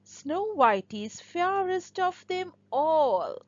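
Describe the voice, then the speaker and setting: A woman voicing a truthful, deferential magic mirror in a fairy tale, formal and measured. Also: very high voice, very animated, a little mellow, dry recording, dull audio, voice pushed up, full character voice. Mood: apologetic.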